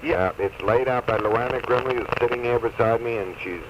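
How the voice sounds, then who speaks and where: A man's voice: medium pitch, fairly quick. A man talking in a casual, conversational radio chat style. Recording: noisy muffled 1970s AM radio cassette recording.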